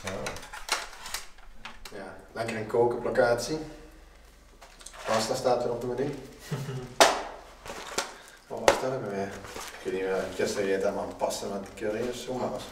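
A young man exploring an abandoned hotel and chatting casually to camera, often dropping to a hush. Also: lowish voice, steady tone, normal speed, pauses often. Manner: chatty, filler-heavy, drops to a hush. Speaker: urban explorer vlogger. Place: large empty abandoned hotel, indoors. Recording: echoey empty halls, background noise.

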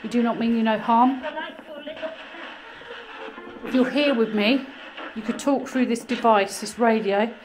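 A woman, calmly calling out to spirits in a small abandoned farmhouse room on a handheld camera. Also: a little high, a little slow, pauses often, over music, poor audio. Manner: calm, coaxing questions. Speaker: paranormal investigator. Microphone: handheld action camera.

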